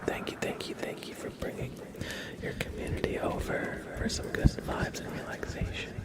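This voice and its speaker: A man hosting an ASMR livestream in a breathy whisper, speaking in a gentle, unhurried, soothing way. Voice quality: breathy, whispered, low creaky voice. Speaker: ASMR live streamer, host.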